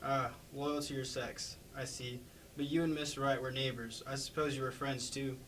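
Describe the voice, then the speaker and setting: A man's voice: mid-range voice, level tone, normal speed, fairly bright tone, close to an everyday voice. A man playing a condescending, sarcastic county attorney with a patronizing, probing manner in an amateur staged play reading. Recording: distant mic with faint background noise.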